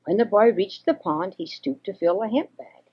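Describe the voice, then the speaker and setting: An older woman reads a children's story expressively, with a husky voice, on a homemade cassette. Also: medium pitch, animated, normal speed. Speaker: storyteller reading for children and grandchildren. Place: home recording. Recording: dull homemade 1980s cassette recording.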